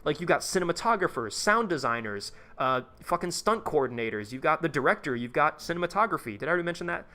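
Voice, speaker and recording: A man's voice: a little high, sing-song, fast, hardly any pauses, pushed and bright. A man live-streaming a tycoon game, chatting casually with his viewers in a rambling, profane way while he plays. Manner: rambling, profane, talks to chat while playing.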